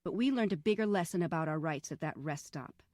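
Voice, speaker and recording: A woman narrating a scripted first-person memoir in a measured, reflective way. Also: fairly low pitch, lively intonation.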